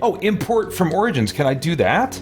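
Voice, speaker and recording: A man's voice: on the high side, very animated, normal speed. A man giving casual, off-the-cuff gameplay commentary as a let's play host, thinking aloud as he goes. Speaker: gaming host, let's player. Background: game music.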